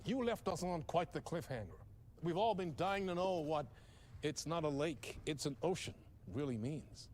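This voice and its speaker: An actor playing a flamboyant TV talk show host in a game cutscene, over-the-top and effusive. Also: male voice, on the high side, animated, normal speed, darkish tone, slight background noise, natural voice.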